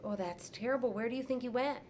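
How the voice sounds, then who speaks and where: A woman's voice: fairly high pitch, fast talker, darkish tone, slight background noise, pitched above the performer's own voice, close to an everyday voice. A woman voicing a concerned male school principal in a storybook reading.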